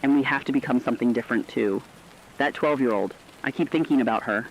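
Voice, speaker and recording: A man playing a reflective, compassionate radio correspondent, delivering a scripted essay in an earnest, deliberate way. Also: medium pitch, slight background noise, lightly acted, rough recording. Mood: reflective, tender. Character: reflective, compassionate male radio correspondent during a global crisis. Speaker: radio commentator.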